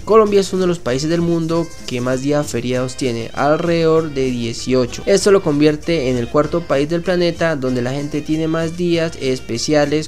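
Higher-pitched man reading a list-video script. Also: a little mellow. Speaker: channel host. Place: voice-over over stock footage.

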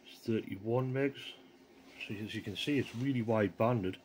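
A man talks through a hands-on demonstration in a casual, unscripted way. His voice sits at an ordinary middle pitch. The handheld recording carries some faint background noise. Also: measured pace, darkish tone. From North East England. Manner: informal, off-the-cuff commentary. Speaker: amateur radio hobbyist demonstrating equipment. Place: outdoors in a yard, then a small living room. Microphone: handheld phone camera behind the view.

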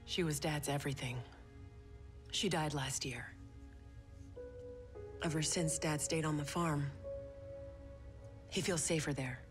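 An earnest young American woman soldier from a video game story. Her voice sits a little low and carries a daughter's worry. Music plays clearly behind her. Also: takes it slowly, pauses often. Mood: somber. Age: young adult. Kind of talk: video game cutscene acting.

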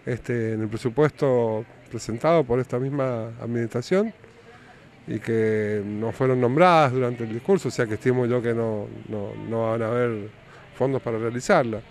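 An Argentine man of medium pitch speaks as a councillor, composing his answer on the spot. There is faint vehicle noise around him. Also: moderate pace, a little mellow. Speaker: city councillor being interviewed. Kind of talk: spontaneous interview answer. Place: outdoors by a building. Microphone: handheld reporter microphone. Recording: outdoor street noise with vehicles.

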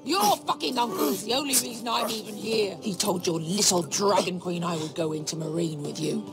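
A woman's voice: medium pitch, moderate pace, loud music behind the voice, lightly acted. An actress playing a drunk, foul-mouthed female mercenary in a video game, aggressive and taunting. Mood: bitter. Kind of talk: dramatic video game acting.

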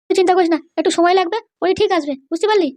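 A very high, shrill voice from a woman plays an aggrieved cartoon mother-in-law. She scolds and complains at a breakneck clip, full of scheming grievance. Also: racing, a little mellow. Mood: reassuring. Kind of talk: exaggerated cartoon dialogue.

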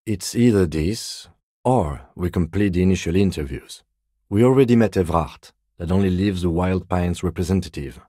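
A man playing a composed, meticulous police lieutenant detective in a video game, speaking in a precise, deadpan, politely reserved way. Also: deep voice, moderate pace, no room sound, clean studio sound. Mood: businesslike. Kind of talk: video game character dialogue.

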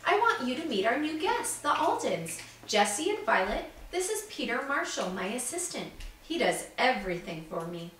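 Echoey, expressive storybook guesthouse keeper voiced by a woman. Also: high-pitched, moderate pace, few pauses. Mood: friendly. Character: friendly, puzzled woman running a guesthouse. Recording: echoey room, distant mic, background noise.

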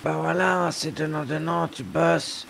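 A man's voice: a little high, steady tone, a little slow, gravelly, fairly bright tone, slight background noise. A man chatting casually in character during an online roleplay game. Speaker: fellow roleplay player.

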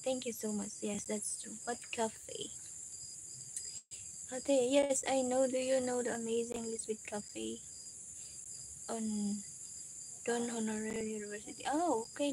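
A woman's voice: fairly high pitch, steady tone, a little slow, darkish tone, slight background noise, poor audio. A woman in her thirties with a Filipino accent, a Waray speaker, chatting casually with viewers on a livestream from her kitchen and laughing often. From Philippines. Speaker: livestream host. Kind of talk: casual livestream chat reading viewer comments. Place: small home kitchen with tin roof, evening. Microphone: phone camera close up.

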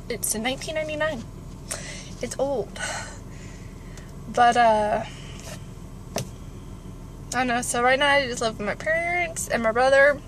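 A woman, chatting in an informal, rambling way for a car vlog, recorded on a phone inside a car. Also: on the high side. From California, United States. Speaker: vlogger. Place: inside a parked car with engine warming up. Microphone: phone at arm's length. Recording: car interior with clear background noise.

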